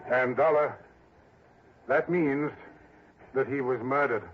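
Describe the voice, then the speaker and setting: A man's voice: medium pitch, takes it slowly, natural voice. An actor playing an anxious, fussy insurance man in a 1960s radio drama, agitated, repeating himself and cutting in. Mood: shaken. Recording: dull noisy old radio recording.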